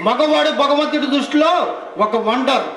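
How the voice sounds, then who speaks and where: A man's voice: very high-pitched, steady tone, moderate pace. An actor playing a cocky, theatrical young woman's cousin in a stage play, declaiming and teasing in a noisy echoing hall. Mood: boastful. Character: cocky, theatrical male cousin from the film trade. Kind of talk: Telugu stage play acting. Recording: echoey hall, loud crowd and noise.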